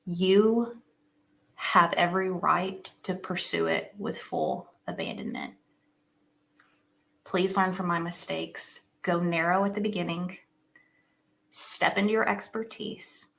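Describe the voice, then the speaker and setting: Gravelly-voiced woman giving a pep talk. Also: on the low side, steady tone, slow, long pauses, a bit bright. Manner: emphatic, encouraging, addresses listeners as friend. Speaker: summit speaker. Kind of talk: motivational talk. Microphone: video call.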